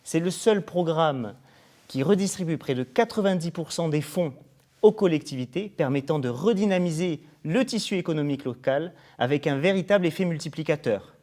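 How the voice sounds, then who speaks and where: A man's voice: fairly high pitch, expressive, normal speed, a little mellow. A man presenting a programme in an interview, formal and explanatory, often going through points as lists. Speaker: programme coordinator being interviewed.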